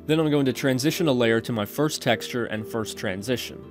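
A man's voice: mid-range voice, fairly quick, over music. A man hosting a casual coding tutorial, talking through each step in an informal, chatty way. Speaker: tutorial host. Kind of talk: casual screen-recorded coding tutorial narration.

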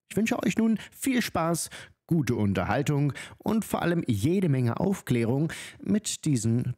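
A man's voice: mid-range voice, mellow. A man introducing his show as host, addressing listeners in a scripted announcing style.

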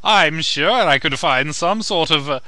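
A man's voice: on the high side, animated, measured pace, bright, forceful tone, clear, resonant tone, dry recording. A man voicing a smitten, effete male army commander, suave and suggestive, in a comedic anime parody dub. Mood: flirtatious.

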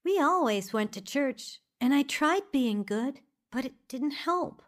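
A troubled young woman at college in an audio drama. Her voice is high and lively in pitch. She speaks slowly, asking questions as she searches for help. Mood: searching.

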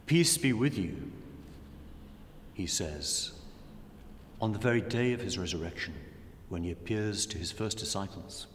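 Slow-speaking man in an echoing hall. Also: low-pitched, slight background noise. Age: middle-aged. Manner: solemn, measured, with long pauses between phrases. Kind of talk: reflective sermon and prayer. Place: large dim indoor room. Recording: long echoing reverb of a hall.

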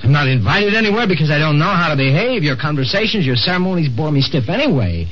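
An actor playing a lonely, embittered man lost in the future, halting and theatrical, in 1950s radio drama style. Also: a little high, very animated. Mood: wounded, complaining. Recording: dull old radio recording with music behind.

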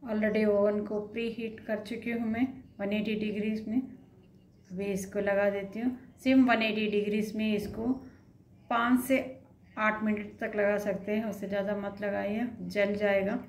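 A woman's voice: mid-range voice, little pitch movement, measured pace. A woman hosting a cooking video, explaining a recipe step by step from her home kitchen and talking straight to the viewers. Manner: instructive, addresses viewers directly. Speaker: cooking channel host.

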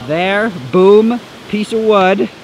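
A man's voice: high-pitched, steady tone, very slow, muffled recording, slight background noise. A young man hosting an outdoor exploring vlog, joking and mock-dramatic as he rambles through the woods, recorded on a camera mic outdoors. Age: young adult. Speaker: host. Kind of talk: outdoor hiking vlog with voice-over narration. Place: wooded hillside outdoors.

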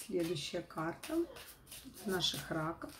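A middle-aged woman with a not fully recovered voice, giving an informal tarot forecast to camera in a halting, rephrasing way. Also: lowish voice, noisy background. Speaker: tarot reader, host. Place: small room at home, indoors.

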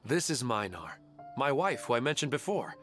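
Earnest father, lively intonation. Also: medium pitch, normal speed, soft background music. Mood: pleased, wistful. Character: kindly adult father, wistful dreamer. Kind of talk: game voice acting.

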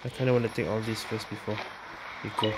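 A woman's voice: extremely low pitch, flat delivery, fast talker, very noisy. A woman giving casual playthrough commentary as a gaming streamer, muttering offhandedly to herself. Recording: loud game music behind.

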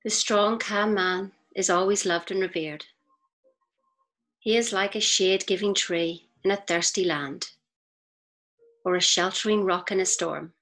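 A woman reads scripted inspirational narration in a measured, deliberate way, with pauses between phrases. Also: mid-range voice, steady tone, a bit bright. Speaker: audiobook reader. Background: faint music.

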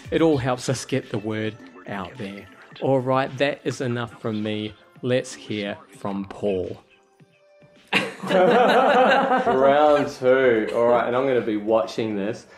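A male podcast host with a mid-range voice, chatty and quick to laugh, with faint music playing behind him. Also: mid-range voice, lively intonation. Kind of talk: casual podcast hosting.

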